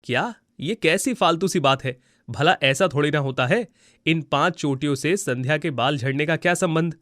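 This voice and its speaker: A status-conscious young suitor from a cartoon moral tale, voiced by a man. His voice sits a little high and his manner is dismissive and matter-of-fact. The recording is dry. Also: moderate pace, fairly bright tone, no room sound, close to an everyday voice. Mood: incredulous. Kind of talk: Hindi moral story cartoon acting.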